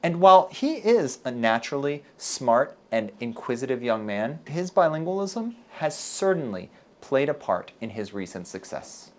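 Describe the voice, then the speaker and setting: A male university lecturer from Texas speaks in a careful, deliberate way, as if reading a prepared talk. His pitch rises and falls freely, lifting at the start of phrases and settling low at the ends. The tone stays earnest throughout. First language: English. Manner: measured, earnest, deliberate delivery. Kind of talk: scripted video lecture to camera.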